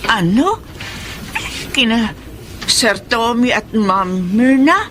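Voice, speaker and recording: A woman's voice: a little high, lively intonation, slow pace, background noise. A woman with a Filipino accent playing a fretful, caring older mother of grown sons in a radio drama. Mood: concerned, motherly. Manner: pleading, motherly, concerned.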